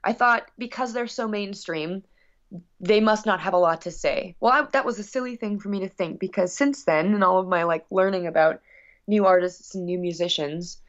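A woman talks as a guest musician in a casual interview. Her manner is earnest and reflective, with a medium-pitched voice. The recording is a little dull and lo-fi. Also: normal speed. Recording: dull, lo-fi sound.

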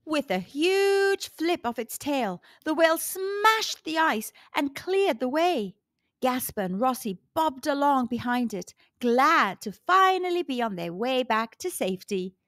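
A woman narrates a picture book for small children. Her voice is high and very expressive in pitch, yet she keeps a calm, unhurried pace. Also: no room sound, studio quality. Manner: calm, deliberate storyteller for young children. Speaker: children's storybook reader. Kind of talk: read-aloud story narration.

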